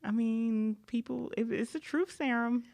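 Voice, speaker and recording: A woman's voice: fairly high pitch, a little slow, gravelly, a little mellow. A woman co-hosting a podcast, chatting casually in a laid-back, rambling way and laughing often. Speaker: podcast co-host. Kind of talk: casual chat.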